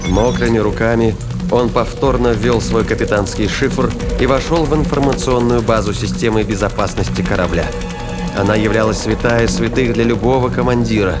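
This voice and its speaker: A man's voice: on the low side, normal speed. A man narrating a sci-fi thriller audio drama in a scripted storytelling style. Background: music and sound effects.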